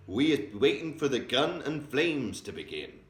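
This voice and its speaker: Man playing a friendly giant, hushed, mangled grammar, lively pitch, roomy distant sound. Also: unhurried. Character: kindly big male giant, quirky grammar. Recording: roomy echo, distant mic.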